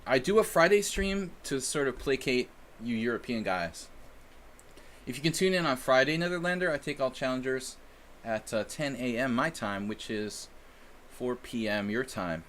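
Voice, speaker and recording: A man's voice: medium pitch, expressive, normal speed, fairly bright tone, slight background noise. Chatty American man streaming chess. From United States. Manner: chatty, rambling, self-deprecating. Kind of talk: casual live-stream chat.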